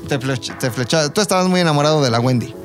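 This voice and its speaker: A Mexican man with a slightly high voice tells history like casual gossip. He explains informally, slipping into slang and playful quoted lines, with clear music playing behind him. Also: fast talker. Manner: informal, explanatory, role-plays imagined quotes. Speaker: podcast co-host telling history. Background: background music.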